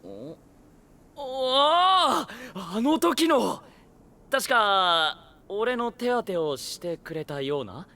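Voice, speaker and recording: An actor playing an earnest, eager young male adventurer in an expressive, boyish anime game style. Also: sits high, extremely slow, exaggerated voice. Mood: surprised recognition. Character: earnest, excitable young adventurer searching for his kidnapped mother. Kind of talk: dramatic anime game voice acting.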